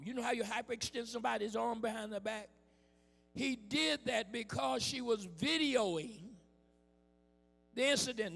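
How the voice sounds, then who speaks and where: Hoarse male preacher, high gravelly voice, slow deliberate delivery, big reverberant hall. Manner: preaching with call-and-response and shouted emphasis. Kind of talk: impassioned sermon. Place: church hall with music behind.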